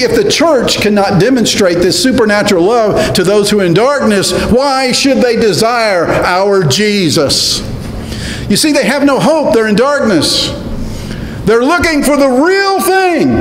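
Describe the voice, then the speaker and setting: An older man, a pastor, preaching an earnest sermon at a pulpit in a church, rising to emphatic peaks. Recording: reverberant church hall with faint rumble.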